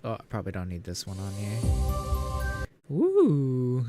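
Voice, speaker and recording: A man streams from home, his nose congested and sniffly. He rambles casually to his viewers, laid-back and quick to swear. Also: lowish voice, wildly swooping pitch, music in the background. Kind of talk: casual live-stream chat.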